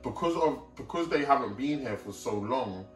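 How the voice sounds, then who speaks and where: A man's voice: mid-range voice, expressive, normal speed. A young man co-hosting a casual chat, speaking straight to the audience and checking that they follow him. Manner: addresses the audience directly, often asks "you know what I'm trying to say?". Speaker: co-host. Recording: roomy sound, mic at a distance, faint music.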